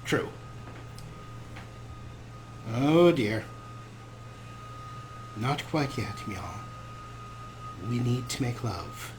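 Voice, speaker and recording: A man's voice: mid-range voice, expressive, extremely slow, long pauses, background noise. A man hosting a gaming show with casual let's-play commentary, talking in a wry, rambling way.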